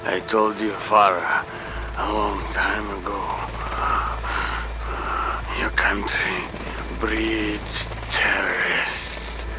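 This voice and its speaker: A man's voice: mid-range voice, very animated, a little slow, pauses often, very noisy. An actor playing a cruel, menacing middle-aged Russian general in a video game, taunting as he interrogates, with a Russian accent. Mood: weak, bitter. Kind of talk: cinematic video game acting.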